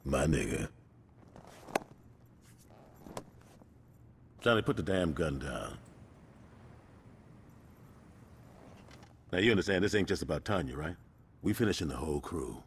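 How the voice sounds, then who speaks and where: A man's voice: a bass voice, sing-song, fast, slight background noise, exaggerated voice. A man acting a commanding, persuasive street gang leader in a video game, talking in emphatic street slang. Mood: brisk, satisfied.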